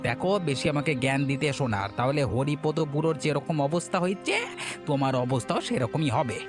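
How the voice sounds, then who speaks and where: A man playing an arrogant, selfish village bully in a cartoon, with a gruff, dismissive, threatening manner. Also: a little high, brisk, natural voice. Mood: irritated, defiant. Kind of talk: Bengali moral-story cartoon dubbing. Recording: music behind the voice.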